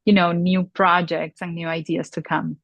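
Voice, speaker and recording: A woman in her forties from Peru, answering interview questions in a halting way with many fillers and restarts. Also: lowish voice, little pitch movement, vocal fry, a bit bright, dry recording, highs cut off. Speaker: luxury industry guest. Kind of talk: conversational interview.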